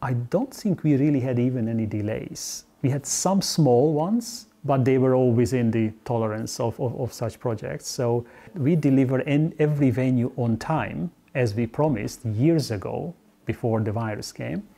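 A man's voice: mid-range voice, normal speed, gravelly, dark tone. Middle-aged man, earnest. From Hungary. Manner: earnest, upbeat, with small restarts. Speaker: interviewee, Olympic organizing expert. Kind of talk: relaxed interview talk. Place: indoor office. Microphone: lapel mic.

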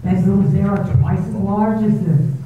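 A woman playing a cold, formal manor housekeeper in a staged radio drama, speaking with deliberate deference. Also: medium pitch, steady tone, phone-quality sound, lightly acted. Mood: coolly formal. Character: cold, stiff, resentful housekeeper of a great manor. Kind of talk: readers-theater staging of a 1938 radio drama. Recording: roomy, thin, distant, faintly noisy stage recording.

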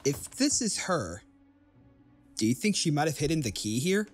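A man playing an eager, sardonic adventurer with a husky voice in an audio drama. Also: a little high, sing-song, normal speed, faint music behind. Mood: curious.

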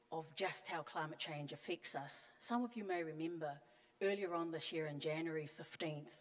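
Woman mp talking on a panel, deep-ish voice, slow earnest delivery, handheld mic, hall echo. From Tonga. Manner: measured, earnest, deliberate. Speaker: panelist, member of parliament. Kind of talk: formal panel speech. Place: large conference hall on stage. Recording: long hall reverb.

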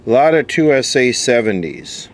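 A man's voice: medium pitch, normal speed. A man giving casual, off-the-cuff repair commentary, muttering and thinking aloud as he works. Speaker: host repairing vintage electronics on camera. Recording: steady background noise. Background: steady background noise.

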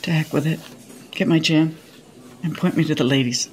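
A woman's voice: sits low, moderate pace, a little hiss or hum, lightly acted. Actress as a prickly, sardonic older woman. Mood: sardonic, curt. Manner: dry, curt, sarcastic. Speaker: actress playing a diner. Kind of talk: radio play comedy acting.

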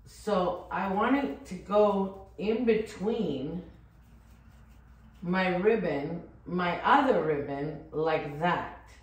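A woman's voice: on the low side, expressive, extremely slow, rough recording. A woman, chatting and thinking aloud as she gives a casual decorating demonstration. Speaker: home decor vlogger. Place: furnished living room at home, indoors.

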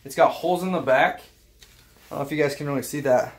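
A man's voice: a little high, brisk. A young man from Utah chatting casually to camera in a small home office, rambling with fillers and restarts as he shows gym clothes. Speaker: vlogger. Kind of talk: casual try-on haul chat.

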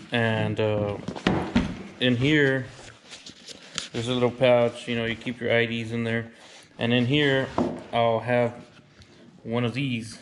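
Man, 30s, fishing vlogger, casual show-and-tell, even steady pitch, camera mic, faint noise. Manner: relaxed, plainspoken, says "y'all". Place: indoors, then a wooden deck.

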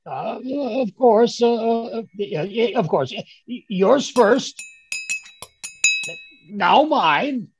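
Guy doing a fussy old man in a radio play, blustery and stammery, creaky voice, dull call audio. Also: high-pitched, expressive, very slow, heavily acted. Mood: flustered. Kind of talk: 1930s radio drama acting. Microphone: video call.

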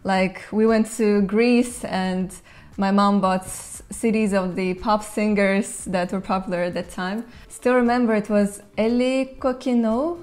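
A young woman from Latvia, a native Russian speaker, answering viewers' questions in English while seated in a bright room. She talks in a thoughtful, easygoing way with light laughs now and then. Her voice is picked up close by a clip-on mic. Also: medium pitch, steady tone, measured pace. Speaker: language channel host. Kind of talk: casual Q&A to camera. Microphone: lapel mic.

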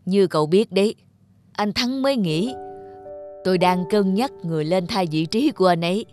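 A woman voicing a shrewd, calculating male factory director in a cartoon, speaking with measured, insinuating authority. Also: medium pitch, animated, darkish tone, soft background music, natural voice. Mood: sly, measured. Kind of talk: cartoon voice acting.

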